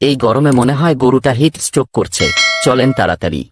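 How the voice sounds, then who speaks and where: A man's voice: medium pitch, animated, a little fast, noisy background, close to an everyday voice. A man playing an alarmed animal servant in a cartoon, urgent and anxious. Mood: panicked. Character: alarmed servant animal reporting to his master. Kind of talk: Bengali cartoon dialogue.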